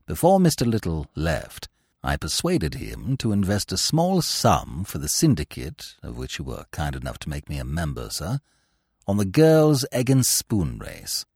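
A man voicing an imperturbable, deferential valet who speaks low, hushed and measured. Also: wildly swooping pitch, creaky, slightly rough, no room sound, clean studio sound, exaggerated voice. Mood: composed. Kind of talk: audiobook character voice.

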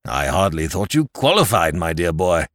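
Man playing a stiff older gentleman, anxious, formal, a touch lower and quieter. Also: sing-song, no room sound, clean studio sound. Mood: pleading. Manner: formal, pleading, measured.

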